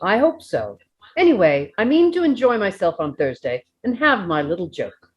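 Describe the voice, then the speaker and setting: A woman's voice: mid-range voice, very animated, moderate pace, slightly rough. A woman voicing a genial, determined small male hobbit in a storybook reading. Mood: resolved. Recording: dull lo-fi microphone.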